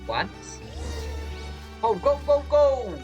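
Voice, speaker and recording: A woman's voice: high-pitched, sing-song, drawn-out words, darkish tone, lo-fi sound, faint music behind. A woman giving casual, rambling game commentary, talking half to herself and half to viewers. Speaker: gameplay streamer.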